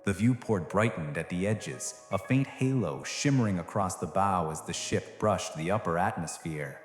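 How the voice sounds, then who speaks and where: A man's voice: low voice, lively intonation, moderate pace, reverberant, long reverb tail. A man reading scripted, dramatic science fiction narration as the narrator of an audio drama. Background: music.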